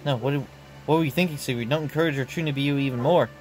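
A man's voice: mid-range voice, fast, music in the background, lightly acted. Guy doing a jumpy young shut-in girl, stammery, all nervous. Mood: alarmed. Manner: flustered, stammering.

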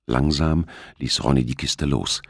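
Extremely deep, gravelly male narrator. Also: measured pace, no echo, clean studio sound. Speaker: audiobook narrator. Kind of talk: scripted suspense novel narration. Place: close, dry studio recording.

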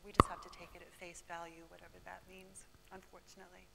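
A woman's voice: on the low side, level tone, fast talker, vocal fry, very noisy. A woman researcher standing in a lecture room, answering audience questions at a seminar in an earnest, explanatory way. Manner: earnest, explanatory, with light laughs. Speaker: presenting researcher.

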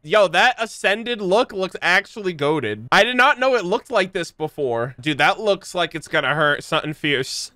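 Bright-voiced man streaming. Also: high-pitched, no echo, studio quality. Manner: animated, slangy, swearing. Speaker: video game streamer. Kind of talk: live game stream commentary, casual chat. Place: small room indoors at home, on webcam. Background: game music.

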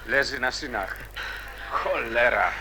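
An actor playing a shrewd, blunt teenage pal in a radio drama, talking in matter-of-fact street slang. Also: male voice, mid-range voice, slow, noisy background, lightly acted. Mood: alarmed.

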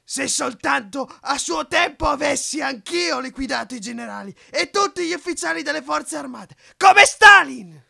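A paranoid ranting dictator for a film dub, really gravelly, sharp edged voice. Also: male voice, very high-pitched, animated, moderate pace, hardly any pauses, heavily acted. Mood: furious. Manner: ranting, with emphatic repetition. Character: raging, paranoid middle-aged dictator in his bunker. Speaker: amateur dubbing voice actor. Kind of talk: amateur film dub, raging monologue.

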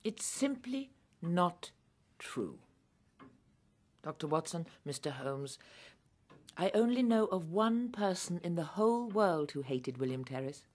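A middle-aged woman playing a dignified, indignant Victorian widow in a radio drama, measured and emphatic. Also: lowish voice, big pitch swings, a little mellow, exaggerated voice.

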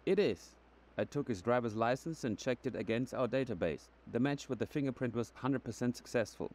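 An actor playing a calm, matter-of-fact male police officer asking probing questions in a video game. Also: mid-range voice, fairly quick, a little hiss or hum, close to an everyday voice.

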